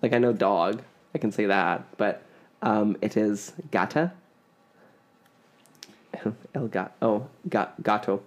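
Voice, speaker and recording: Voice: medium pitch, takes it slowly, long pauses. Chatty podcast host with a Canadian accent. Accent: Canadian, Ontario. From Ontario, Canada. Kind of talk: casual chat.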